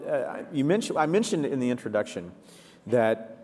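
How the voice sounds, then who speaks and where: A man's voice: mid-range voice, big pitch swings, normal speed, darkish tone. A man conducting a conversational interview onstage at a live speaker event. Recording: reverberant hall.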